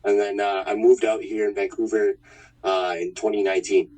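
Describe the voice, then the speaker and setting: A man in his thirties from Manitoba, a fighter talking about his past in a relaxed, rambling way. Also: fairly low pitch, moderate pace, recorded from a distance, low-quality recording. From Winnipeg, Manitoba, Canada. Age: 30s. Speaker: fighter, interview guest. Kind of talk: casual interview chat.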